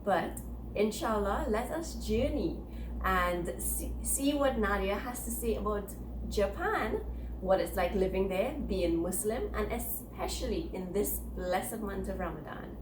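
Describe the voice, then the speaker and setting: A woman, introducing a guest with animated, teasing questions to viewers. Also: medium pitch, a little slow, echoey room, noisy background. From Trinidad and Tobago. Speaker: co-host. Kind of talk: lively show introduction. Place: living room set, indoors.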